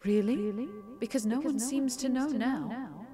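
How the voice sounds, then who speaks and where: A woman's voice: a little high, unhurried, close to an everyday voice. A woman voicing a timid, anxious young female dragon in a fantasy audio drama, with hesitant, earnest questions. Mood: curious. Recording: music bed under the voice. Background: background music.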